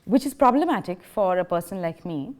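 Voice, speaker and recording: A woman's voice: fairly low pitch, lively intonation, brisk, darkish tone. A woman in her thirties from India, whose first language is Bengali, giving a public lecture in a chatty, self-deprecating way, with frequent laughs, fillers and restarts. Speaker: guest speaker. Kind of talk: public lecture, personal anecdotes. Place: lecture hall.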